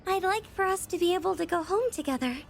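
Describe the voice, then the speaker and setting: A woman playing a timid, earnest high-school girl in an anime-style video game, with a hesitant, gushing way of talking. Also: animated, fast talker, gravelly, heavily acted. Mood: happy, shy. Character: shy, excitable, earnest high-school girl, timid but bold in love. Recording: music behind the voice.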